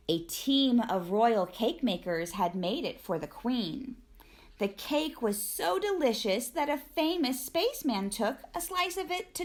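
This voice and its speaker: Slow, high-pitched woman reading a storybook. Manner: expressive, deliberate storytelling. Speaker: teacher reading a picture book aloud. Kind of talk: children's storybook narration, rhyming verse.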